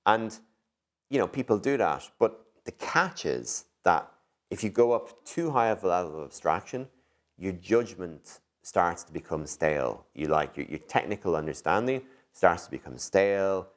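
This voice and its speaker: A man giving conversational interview answers as a tech executive, thinking aloud in an animated way with lots of "you know" and "like". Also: sits low, normal speed.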